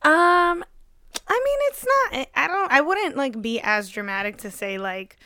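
A woman co-hosting a casual podcast, blunt and quick to swear and laugh. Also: high-pitched, animated, sharp tone, no room sound, studio quality. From US, of Dominican and Puerto Rican family. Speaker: podcast co-host.

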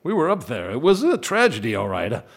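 An actor playing a skeptical, impatient state police officer questioning bluntly. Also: male voice, medium pitch, huge pitch swings, quick pace, vocal fry, heavily acted. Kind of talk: radio drama acting.

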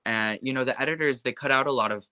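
A man's voice: mid-range voice, quick pace, sharp tone, clean tone, no echo. A young man being interviewed in casual conversation. He speaks with impassioned conviction and leans heavily on filler words. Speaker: interview guest.

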